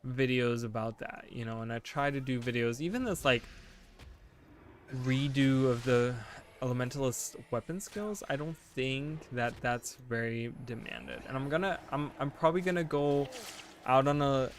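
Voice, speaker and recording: A young man in his late twenties talks loosely while he plays a game on stream, his pitch sitting in an ordinary middle range. He wanders from thought to thought, fills the gaps with "like" and "you know", and corrects himself mid-sentence. A faint background hum runs under his voice. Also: moderate pace. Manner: rambling, full of "like" and "you know"; thinking aloud, self-correcting. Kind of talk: casual chat while gaming.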